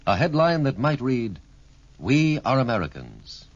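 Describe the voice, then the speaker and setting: A man's voice: mid-range voice, wildly swooping pitch, a little slow. A man reading a scripted public service message as a radio announcer, in an earnest, solemn oratorical manner. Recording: dull vintage radio recording.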